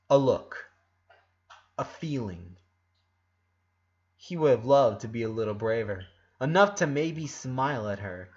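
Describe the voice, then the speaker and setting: A man reading a story aloud as an expressive narrator. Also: on the high side, highs cut off. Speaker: fan fiction narrator.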